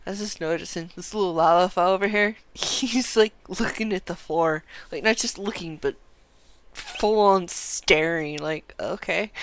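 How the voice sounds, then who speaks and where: A woman's voice: lowish voice, unhurried, dry recording. A woman streaming a game in a casual way, easily amused and musing aloud as she plays. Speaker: streamer playing an online role-playing game.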